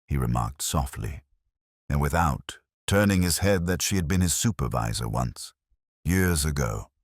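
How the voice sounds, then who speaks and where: A man narrating a literary detective story as a brooding, world-weary inspector, in a measured, reflective and formal manner. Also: a bass voice, lively intonation, husky, no room sound, studio quality. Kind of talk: literary first-person scripted narration.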